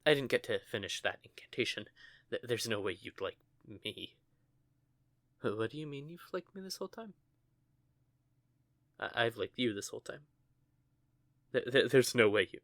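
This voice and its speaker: A man's voice: medium pitch, animated, moderate pace, pauses often, a bit bright, no echo. A man playing a stammering flustered young witch. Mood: giddy. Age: young adult. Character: nervous stuttering young male witch student. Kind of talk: audio roleplay acting.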